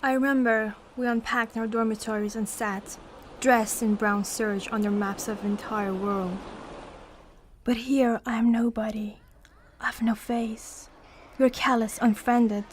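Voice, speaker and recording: Female actor as fragile, withdrawn dreamer in an audio play; highish, flat intonation, subdued. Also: measured pace, a little hiss or hum, natural voice. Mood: fragile, haunted. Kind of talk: literary radio drama acting.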